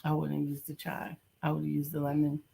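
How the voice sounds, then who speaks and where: A woman's voice: sits low, completely flat delivery, normal speed, gravelly, dark tone, slightly rough. A woman co-hosting a casual live-stream chat, practical and offering home remedies. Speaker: co-host and coach.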